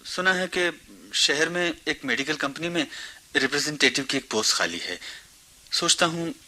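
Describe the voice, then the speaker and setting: A man's voice: on the high side, moderate pace, sharp tone, low-quality recording. An actor playing a humble, educated young man looking for work, in a Hindi radio play. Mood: respectful, hopeful.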